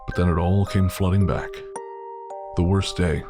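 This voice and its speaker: Male narrator, very low voice, measured dramatic storytelling over music and rain. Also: fairly even intonation. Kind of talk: scripted audio drama narration.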